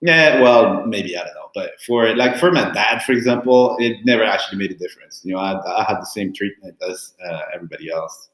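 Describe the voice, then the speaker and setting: Low-voiced man telling his story, roomy echo. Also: animated, a little fast, few pauses, a bit bright. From born in Cameroon, raised in Italy and France. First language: French and Italian. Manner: candid, rambling, frequent restarts and fillers. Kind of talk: casual personal storytelling.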